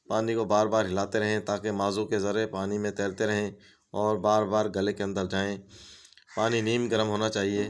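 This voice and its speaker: Low, smooth-voiced man. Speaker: home remedies channel host. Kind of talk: reading home remedy tips.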